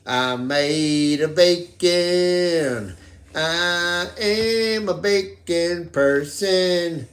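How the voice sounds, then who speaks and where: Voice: mid-range voice, drawn-out words, echoey room. An amateur puppeteer voicing a comical bacon-loving pig in a puppet show. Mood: enthusiastic, dismayed.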